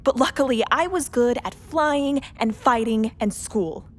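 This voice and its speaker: A woman playing a cynical, jaded second pilot in a space radio play, with a sardonic, deadpan manner. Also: fairly high pitch, a little slow.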